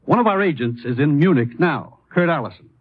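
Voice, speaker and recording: Guy doing a serious gov boss in an old radio drama, tinny phone-like sound, expressive. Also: a little high, a little fast, a little hiss or hum, close to an everyday voice. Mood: grave, urgent. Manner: grave, authoritative. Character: earnest, businesslike male government commissioner, the agent's boss. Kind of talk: 1950s radio acting.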